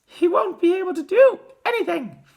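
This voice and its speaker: A performer voicing a hysterical, horrified mother in a storybook, shrill and wailing as she cries out. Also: expressive, moderate pace, vocal fry, darkish tone, heavily acted.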